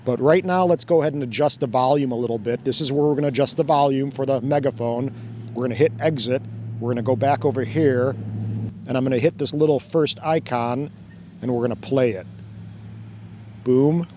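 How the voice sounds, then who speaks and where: Guy talking thru a gear demo outside, thinking out loud, laughing a lot, mid pitch, faint traffic noise. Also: brisk. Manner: chatty, thinks aloud, laughs often. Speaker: drone channel host. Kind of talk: casual hands-on demo narration. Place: outdoors by a fountain, cold weather.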